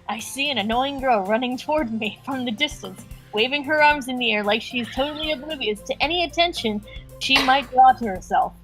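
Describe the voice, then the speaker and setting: A woman's voice: high voice, normal speed, a bit bright, soft background music, poor audio. Woman reading as a dry, laid-back teenage boy. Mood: wry. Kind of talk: reading game narration aloud.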